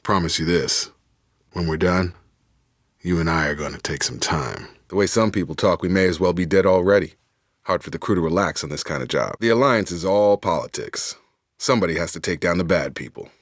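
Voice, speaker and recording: A dutiful soldier in a sci-fi video game. His voice sits low and is recorded very dry. He speaks in clipped, matter-of-fact military phrases and comes across as steady and loyal. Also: brisk, no room sound. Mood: steady, serious. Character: soldierly, steady, dutiful adult male space operative. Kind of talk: scripted video game dialogue.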